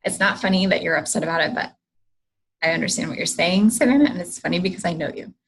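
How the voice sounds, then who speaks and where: A woman's voice: fairly low pitch, fast, a bit bright, slight background noise, rough recording. A woman hosting a casual livestream, chatty, greeting viewers, and losing her voice. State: losing her voice, clearing her throat. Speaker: host of a livestream.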